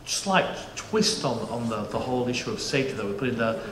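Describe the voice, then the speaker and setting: A middle-aged man a bit of in his vowels chats easily to a conference crowd, joking and restarting his sentences as he goes. His voice sits at an ordinary pitch for a man. It rings through an echoey auditorium over a faint hum of room noise. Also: animated, a little fast. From United Kingdom. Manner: chatty, jokey, frequent restarts and fillers. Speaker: panel moderator. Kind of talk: conference presentation.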